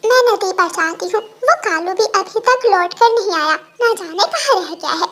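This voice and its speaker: A woman's voice: very high-pitched, lively intonation, moderate pace, fairly bright tone. A woman voicing a hardworking, scolding female bird in a children's cartoon. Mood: impatient.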